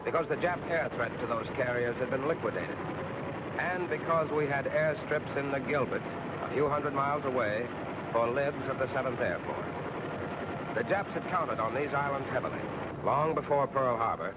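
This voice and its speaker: A man's voice: mid-range voice, moderate pace. American man, noisy 1940s newsreel narrator. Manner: colloquial, punchy, patriotic military storytelling. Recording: noisy 1940s film soundtrack with artillery.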